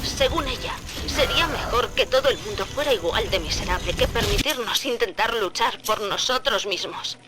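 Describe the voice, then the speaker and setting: Woman acting high-voiced defiant daughter, loud music behind. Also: animated, normal speed, close to an everyday voice. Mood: bitter, earnest. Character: defiant young daughter, captive, earnest and determined. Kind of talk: video game dub acting.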